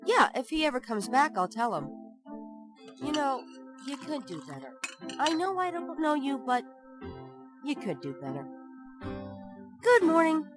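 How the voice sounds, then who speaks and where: An actress playing a sharp-tongued young woman who works in a bookshop, teasing and sarcastic in a studio adventure game. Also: sits high, big pitch swings, measured pace, pauses often. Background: game music.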